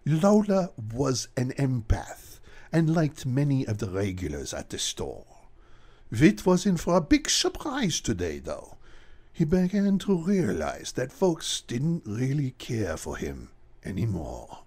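A man narrating a radio horror drama in an ominous storytelling manner, with a gravelly, husky voice. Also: medium pitch, huge pitch swings, slow pace, darkish tone.

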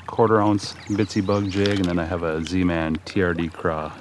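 A man's voice: low-pitched, normal speed, background noise. A man with a Minnesotan accent chatting casually to viewers while fishing from a kayak on a river, recorded on an action camera. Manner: relaxed, chatty, talks to viewers. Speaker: fishing vlogger.

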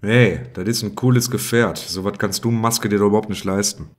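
A man's voice: fairly low pitch, brisk, lightly acted. A man playing a blunt, coarse, self-important police inspector in a parody detective audio drama. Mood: mocking.

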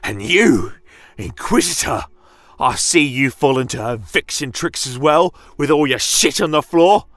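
A man's voice: mid-range voice, animated, a bit bright, dry recording, pitched above the performer's own voice. Zealous barkeep, acted by a man: bitter, snarling, raised furious voice, fantasy audio drama. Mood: furious disbelief. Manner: snarling, foul-mouthed, drawn-out furious delivery. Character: enraged, bitter, zealous male village barkeep.

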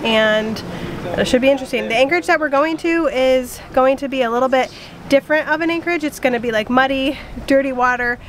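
High-voiced woman vlogging from a sailboat. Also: a little fast. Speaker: sailing vlogger. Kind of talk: casual vlog update to camera. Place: open cockpit of a sailboat at sea. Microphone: camera at arm's length. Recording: clear wind and boat noise.

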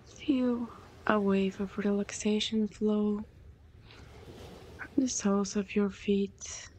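A woman leading a guided meditation in a soothing, breathy voice that often softens almost to a whisper. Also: mid-range voice, fairly even intonation, slow. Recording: clear background noise, ambient sound.

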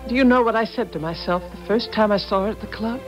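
An actress in a 1970s radio drama playing a gentle, fretful, long-suffering wife in a genteel theatrical manner. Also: female voice, medium pitch, fast, lightly acted, poor audio. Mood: wistful. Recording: 1970s radio broadcast, music behind.

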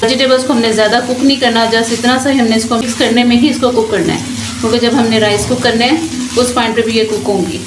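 A woman's voice: fairly high pitch, fairly even intonation, fast talker. Chatty woman teaching a recipe. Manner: instructive, chatty, frequent blessings. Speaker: cooking channel host. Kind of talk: voice-over cooking instruction. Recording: echoey kitchen with frying noise. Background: frying and stirring sounds.

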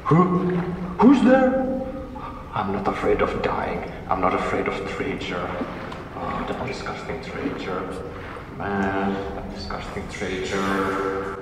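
A man's voice: deep voice, expressive, slow, noisy background. A man voicing a menacing, taunting asylum stalker in amateur game lines, calling out theatrically. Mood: bitter. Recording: cavernous echo, distant, game music behind.

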